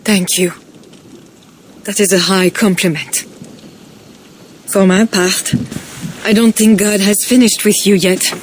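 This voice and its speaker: An actress playing a pious, gentle noblewoman in a video game cutscene, speaking softly and earnestly. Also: mid-range voice, steady tone, slow pace, long pauses, a little hiss or hum, lightly acted. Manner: soft, earnest and devout. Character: pious, gentle noblewoman estranged from her husband. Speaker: queen.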